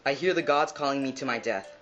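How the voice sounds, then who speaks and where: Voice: mid-range voice, fast, fairly bright tone, muffled recording, faint music behind, lightly acted. A performer playing a proud, doomed Trojan warrior prince in a mythological puppet show. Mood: resigned, resolute.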